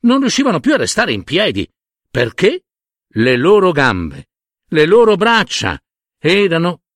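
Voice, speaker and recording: Man, fairy-tale narrator, unhurried, highly animated intonation, dramatic pauses. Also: dry recording, studio quality. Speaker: storyteller.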